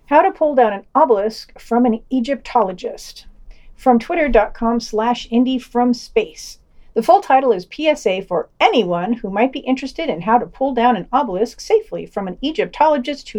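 A woman's voice: fairly high pitch, darkish tone. A woman reading a scripted news digest and quotes for a podcast, with dry, slightly pedantic wry commentary.

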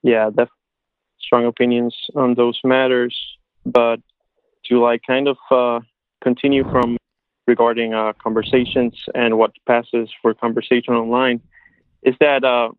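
Male interview guest on a thin phone-like connection, monotone, casual, hesitant phrasing. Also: medium pitch, unhurried, dry recording. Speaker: podcast guest. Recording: muffled phone-like line.

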